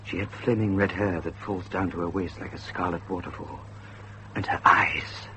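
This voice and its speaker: A man's voice: low voice, steady tone, normal speed, background noise, lightly acted. An actor playing an earnest, haunted young Victorian student, telling his account in a formal, dramatic way. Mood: haunted, confiding. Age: young adult. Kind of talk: period radio drama storytelling.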